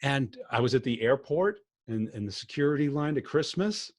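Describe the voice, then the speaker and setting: A man's voice: medium pitch, lively intonation, moderate pace. A man hosting a podcast, talking bluntly and plainly in casual conversation. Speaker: podcast host.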